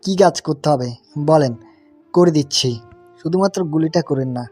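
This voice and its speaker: A man's voice: a little high, moderate pace, darkish tone, husky, soft background music. A man playing a gang henchman in an audio story. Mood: submissive, pleading. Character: male gang henchman, threatening, cowardly when cornered. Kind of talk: Bengali audio story acting.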